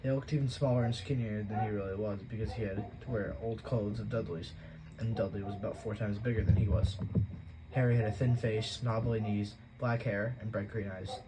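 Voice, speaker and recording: A man reading a novel aloud as an audiobook, in plain, steady storytelling. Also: on the low side, noisy background. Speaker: audiobook reader.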